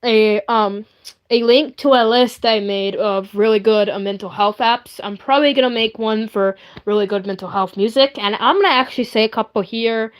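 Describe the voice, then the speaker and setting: A woman's voice: on the high side, moderate pace. A young woman chatting casually on a live stream in a rambling, hesitant way, often repeating herself.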